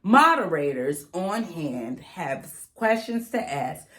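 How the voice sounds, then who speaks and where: Slow, very animated woman reading aloud. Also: lowish voice. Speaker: read-aloud reader. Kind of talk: children's picture book read-aloud.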